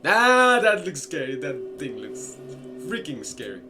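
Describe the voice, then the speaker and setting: A man's voice: fairly high pitch, very animated, measured pace, loud music behind the voice. A man giving casual playthrough commentary, thinking aloud and talking back to the game as he goes. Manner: reactive, muttering to himself and answering the game. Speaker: gaming video host. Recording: clearly noisy background.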